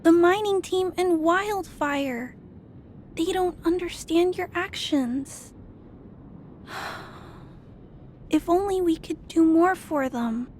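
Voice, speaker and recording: An actress playing a gentle, earnest little girl in a video game, polite and hesitant, worried for others. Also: extremely high pitch, slow pace, pauses often, slight background noise. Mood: worried, pleading. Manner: soft-hearted, polite, hesitant.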